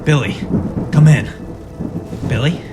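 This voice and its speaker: A man's voice: on the high side, slow, very noisy. A man playing a weary but encouraging superhero mentor in a radio play. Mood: rousing. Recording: voice over music and storm effects.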